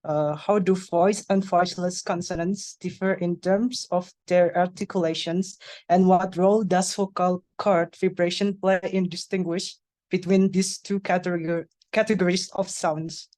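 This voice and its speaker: Flat-toned young Indonesian-accented woman. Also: lowish voice, normal speed. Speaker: student asking a question. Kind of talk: prepared question in an online class.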